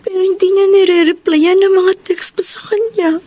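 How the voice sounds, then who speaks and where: A woman's voice: very high voice, steady tone, normal speed, mellow. An actress playing a heartbroken, remorseful young woman in a Filipino radio drama, emotional and earnest. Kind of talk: Filipino radio drama acting with letter narration.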